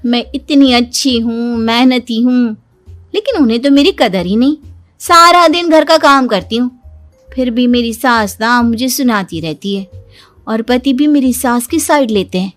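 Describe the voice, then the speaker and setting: A high, whiny young housewife from a family drama story, put on by a woman narrator. She is full of herself and sorry for herself at once. Her complaints come out theatrical and aggrieved, with music underneath. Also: measured pace, natural voice. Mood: indignant. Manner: dramatic wailing, self-praising complaints. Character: whiny, boastful, self-pitying young Indian daughter-in-law.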